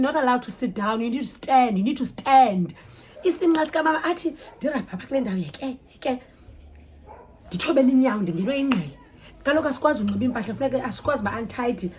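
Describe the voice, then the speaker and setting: High-voiced South African Xhosa woman telling stories. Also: breathy edge. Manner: animated, code-switches mid-sentence, exclaims and acts out dialogue. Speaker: storytime vlogger. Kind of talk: casual conversational storytelling.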